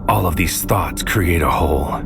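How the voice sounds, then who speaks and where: Low-voiced male tormented sci-fi antihero, grave poetic first-person narration, music clearly underneath. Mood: brooding. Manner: grave, deliberate, poetic delivery. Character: brooding, tormented male protagonist of sci-fi mystery. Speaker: narrator and protagonist. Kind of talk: first-person scripted audio drama narration. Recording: music behind the voice.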